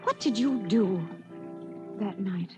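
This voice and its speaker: An actress playing a sharp, suspicious wife, insistent and theatrical in 1940s radio drama style. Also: female voice, mid-range voice, lively intonation, takes it slowly, music in the background. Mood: suspicious, anxious.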